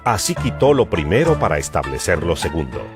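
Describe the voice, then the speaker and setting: A man's voice: low voice, big pitch swings, a little fast. A man giving a solemn, emphatic dramatized narration of scripture as a Bible narrator. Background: music.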